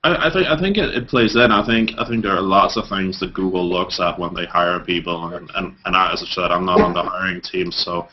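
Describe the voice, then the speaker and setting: A man from Denmark in his thirties, answering interview questions over a video call. His voice sits very low, and he stumbles through restarts and fillers. The audio is dull and lo-fi. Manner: hesitant, many fillers and restarts. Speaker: interview guest.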